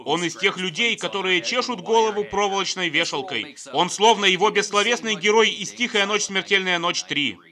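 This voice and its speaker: A man's voice: sits high, moderate pace, few pauses, bright, forceful tone. A man narrating scripted comedic film commentary in a sardonic, joking manner.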